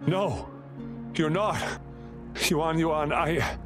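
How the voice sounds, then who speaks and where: Anguished father, slow and emotional. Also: on the high side, animated, over music. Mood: tender, insistent. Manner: halting, emotional. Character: anguished, protective father of a little girl.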